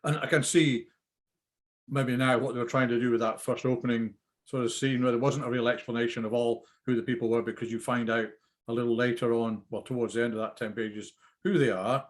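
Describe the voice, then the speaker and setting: Man, average pitch, informal feedback, hesitant, rambling, repetitive. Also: fairly even intonation, a little fast. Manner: hesitant, rambling, repeats himself. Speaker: script reader giving feedback. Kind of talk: informal critique discussion.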